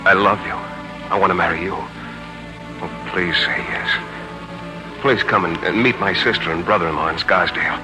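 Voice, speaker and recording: A man playing a melancholy war veteran and ardent suitor in a 1940s radio drama, with an American accent and earnest, halting delivery. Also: deep voice, rough recording. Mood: pleading, tender. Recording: old 1940s radio broadcast with music.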